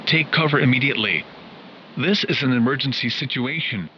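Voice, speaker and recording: A man's voice: medium pitch, animated, a little fast, lightly acted. Male weather-alert voice acted by a man for an emergency broadcast drama; formal, impersonal reading, lo-fi and noisy. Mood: grave, impersonal. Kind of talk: scripted emergency alert bulletin.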